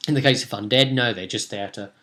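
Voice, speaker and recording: A man, a game master telling the story of a role-play session in a casual, animated way. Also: mid-range voice, quick pace. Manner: animated storytelling, acting out players' reactions. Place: small room at home, indoors.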